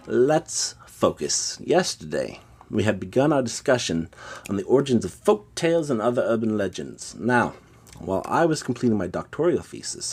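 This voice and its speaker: A man acts out a pompous male schoolteacher for an audio drama. His low, husky voice swings widely in pitch as he lectures with fussy, pedantic diction. He is self-important and easily irritated. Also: full character voice.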